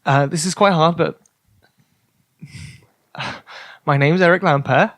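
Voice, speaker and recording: A man's voice: fairly high pitch, takes it slowly, a bit bright, no echo, studio quality. A British man, a comedian guesting on a podcast and bantering casually, on a noisy recording. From born in France.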